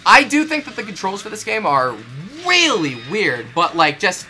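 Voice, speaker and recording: A man's voice: high-pitched, wildly swooping pitch, normal speed, bright, forceful tone. A young man chatting casually about a video game as a show co-host, giving his opinions emphatically. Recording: music behind the voice. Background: video game music.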